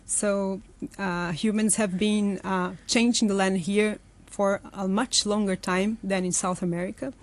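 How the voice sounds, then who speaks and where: A woman's voice: mid-range voice, steady tone, a little slow. A young woman from Brazil, a guest in an interview, explaining her work thoughtfully with many fillers and restarts. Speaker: forest restoration guest. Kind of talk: conversational interview answers.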